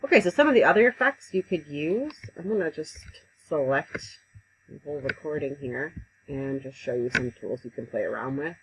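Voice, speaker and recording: A woman giving a casual, conversational software tutorial over a headset mic, with frequent ums. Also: sits low, expressive, normal speed, background noise. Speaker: instructor. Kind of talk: screen-recorded software tutorial.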